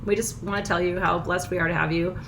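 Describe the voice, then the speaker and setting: Hoarse, bubbly woman. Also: fairly low pitch, steady tone, fast, a bit bright, a little hiss or hum. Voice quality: froggy, husky. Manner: bubbly, effusive, laughs often. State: hoarse, nearly lost voice. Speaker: spiritual teacher hosting a live full moon ritual. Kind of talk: casual livestream chat. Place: small house, indoors.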